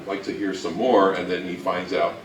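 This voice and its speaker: A man giving an informal history talk, halting and anecdotal, with dry humour. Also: low voice, level tone. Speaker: co-presenter of a talk on old-time radio history. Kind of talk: informal lecture to a live audience. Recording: echoey room from far off, faint noise.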